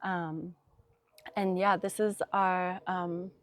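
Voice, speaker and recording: A woman's voice: fairly low pitch, flat delivery, very slow. A young woman from Denmark, a designer, talking casually in an interview outdoors in a vineyard, reflective and full of ums and likes with light laughter. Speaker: guest designer. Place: outdoors in a small vineyard on a summer evening. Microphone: noise-cancelling mic.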